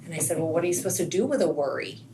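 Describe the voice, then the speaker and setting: A woman's voice: low-pitched, lively intonation, rapid-fire. A middle-aged American woman, a podcast guest speaking in a casual, reflective conversational style. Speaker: podcast guest, school assistant principal. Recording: faint background noise.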